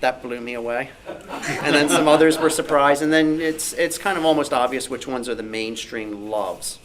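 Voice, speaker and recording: A man's voice: mid-range voice, moderate pace, rough recording. A man speaking informally on a panel at a club meeting, explaining things with examples and asides. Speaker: club newsletter editor, panelist. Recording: noticeable background noise.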